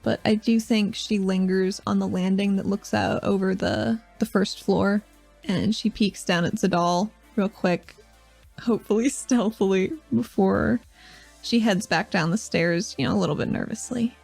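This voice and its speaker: A woman playing in a tabletop role-play podcast who talks in a giggly, animated way. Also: mid-range voice, measured pace, creaky, soft background music. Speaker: player and co-host.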